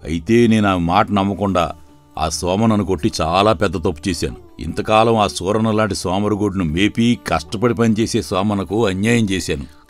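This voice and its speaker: A man playing a middle-aged, hot-tempered father and farm master in an animated moral story, speaking emphatically. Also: deep voice, moderate pace, faint music behind, lightly acted. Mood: remorseful.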